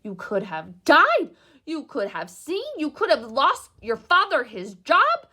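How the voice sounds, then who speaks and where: A woman voicing a furious, scolding middle-aged mother in a read-aloud storybook, loud and drawn out. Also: high-pitched, wildly swooping pitch, voice pushed up, exaggerated voice. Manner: shouting, scolding, loud and slow.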